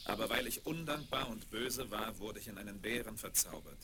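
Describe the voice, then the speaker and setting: Man as a calm, low-voiced young prince. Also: brisk, close to an everyday voice. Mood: calm, earnest. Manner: calm, earnest explaining. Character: young noble prince freed from a curse. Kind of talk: 1970s children's fairy-tale radio play acting. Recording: very noisy 1970s vinyl record.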